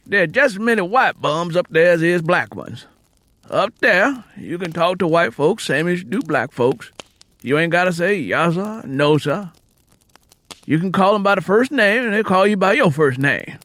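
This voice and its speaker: A man's voice: sits high, big pitch swings, a bit bright, dry recording, voice pushed up, exaggerated voice. A man voicing a worldly, plain-spoken travelling musician in an audiobook, telling things in folksy Southern US dialect. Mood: explaining. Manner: folksy, drawn-out storytelling in rural dialect. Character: easygoing male travelling harmonica player, plain-spoken and worldly. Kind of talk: acted audiobook character dialogue. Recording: music playing under the voice.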